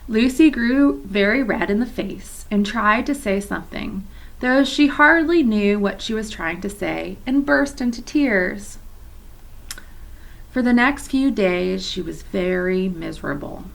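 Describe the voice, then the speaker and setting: A woman's voice: medium pitch, creaky, rough recording. Woman reading a children's chapter book aloud, friendly asides, recorded in a church office. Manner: chatty asides to young listeners.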